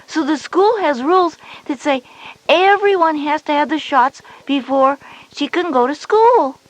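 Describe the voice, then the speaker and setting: A woman's voice: high-pitched, animated, measured pace, dry recording, low-quality recording. A woman voicing a reassuring schoolgirl older sister who patiently explains things in an educational story tape. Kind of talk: scripted educational audio drama.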